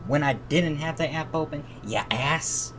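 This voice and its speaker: A man's voice: on the high side, a little slow. A man livestreaming a game, reading its story text aloud and commenting in an irritated, heavily profane way. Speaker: video game streamer. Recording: steady background noise.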